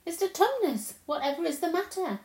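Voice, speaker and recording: A woman's voice: sits high, animated, a little slow, darkish tone, echoey room, voice pushed up. A woman voicing a kind, anxious little girl in a storybook, earnest, polite and pleading. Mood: frightened.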